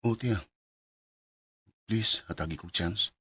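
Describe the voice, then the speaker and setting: A man with a Cebuano Bisaya Filipino accent playing a thrifty, emotional young boyfriend in a radio drama, his voice husky. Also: fairly low pitch, slow pace, bright, forceful tone, no room sound, heavily acted. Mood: pleading.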